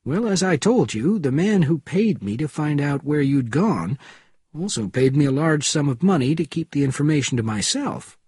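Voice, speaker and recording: Man playing a husky, wordy old ex-judge. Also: on the high side, lively intonation, moderate pace, a little mellow, no echo, clean studio sound, pitched above the performer's own voice. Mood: wry, formal. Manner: wordy, formal. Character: old disgraced former American judge, wordy and wry.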